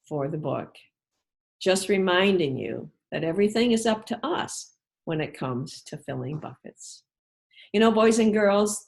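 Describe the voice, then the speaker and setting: A woman author reading aloud and talking directly to children in an encouraging, instructive way with deliberate, emphatic phrasing. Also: lowish voice.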